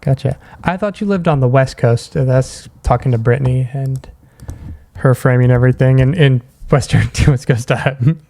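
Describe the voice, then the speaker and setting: A man with a mellow, relaxed tone and mid-range pitch. He works as a podcast host, chatting casually and easygoing as he moves from brief acknowledgements to questions. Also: moderate pace, vocal fry. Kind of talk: casual interview chat.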